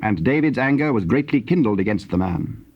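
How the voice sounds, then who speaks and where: A man with a husky voice narrating scripture in a solemn, scripted style. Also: medium pitch, lively intonation, brisk, slight background noise. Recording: dull, lo-fi tape sound.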